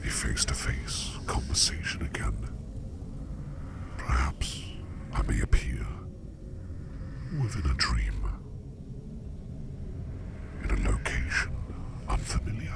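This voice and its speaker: A man voicing a curious, courteous sleep demon in an ASMR roleplay, speaking in a breathy, gravelly whisper with formal, measured, reassuring phrasing. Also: a bass voice, big pitch swings, noisy background, heavily acted. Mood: calm, courteous.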